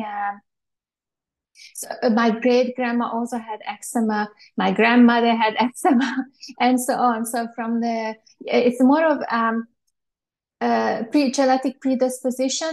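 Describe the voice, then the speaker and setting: A woman in her forties, from Hungary, talks as a guest on a video call. Her voice sits a little high, and her speech is chatty and loose, full of fillers and restarts. She is recorded at home through an earphone mic. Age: 40s. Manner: chatty, many fillers and restarts, laughs often. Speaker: interview guest. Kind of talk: casual video-call interview. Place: bright home room with shelves.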